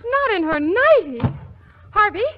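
Very high flustered fiancée, old radio. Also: female voice, big pitch swings, unhurried, slight background noise, full character voice. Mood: flustered, nervous. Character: flustered fibbing young fiancée. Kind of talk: 1940s radio comedy acting. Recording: dull 1940s radio recording.

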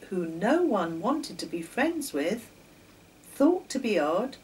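Older female storyteller for children's tales, expressive pitch movement, unhurried, faint background noise. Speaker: children's story author and reader. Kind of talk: reading a children's story aloud. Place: home kitchen, indoors. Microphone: camera a few metres away. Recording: distant camera mic, faint noise.

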